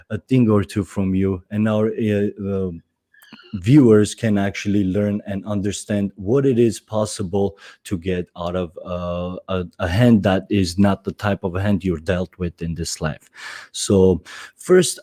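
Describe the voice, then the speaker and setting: A man in his thirties from Armenia hosts a casual interview over a video call. His voice sits low and sounds warm and mellow. He circles his questions with plenty of hesitations, fillers and restarts. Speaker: podcast host.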